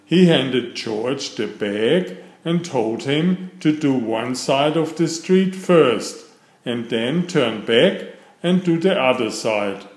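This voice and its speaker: A man reading a children's story aloud in a deliberate, expressive storytelling manner, with a roomy sound. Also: medium pitch. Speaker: storybook narrator. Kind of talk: children's read-aloud narration.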